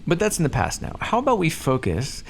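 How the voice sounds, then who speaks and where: A man's voice: fairly high pitch, wildly swooping pitch, brisk, creaky, slight background noise, full character voice. A man voicing a smug, playfully menacing vampire captor in an ASMR roleplay, speaking to the listener in a theatrical, condescending and teasing way. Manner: condescending, theatrical, teasing drawl with pet names.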